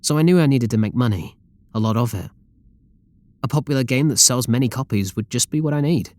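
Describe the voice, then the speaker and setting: A man's voice: mid-range voice, animated, a little fast, darkish tone, no room sound, natural voice. A man with a British accent playing an obsessive, possessive, lovestruck game developer in an intimate roleplay audio drama, doting and controlling. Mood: fervent, confiding. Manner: doting, intimate, pleading endearments.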